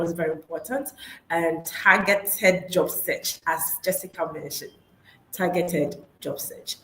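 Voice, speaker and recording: A woman hosting a live online webinar, upbeat, repeating her key points and laughing easily. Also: on the low side. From Ghana. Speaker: webinar host. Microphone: online call mic. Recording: echoey room, faint noise.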